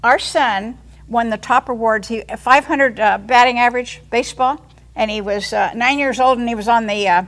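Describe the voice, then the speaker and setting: A woman in her eighties, a line dance instructor, chatting eagerly and excitedly about her family in an interview. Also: a little high, normal speed. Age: 80s. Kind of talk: casual interview chat.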